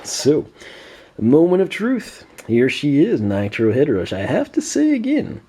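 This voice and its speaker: A man with a hoarse, worn-out voice, casually talking through a toy unboxing with plenty of fillers and throat clearing. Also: fairly high pitch, wildly swooping pitch, measured pace, dark tone. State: hoarse, losing his voice. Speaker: toy collector unboxing host.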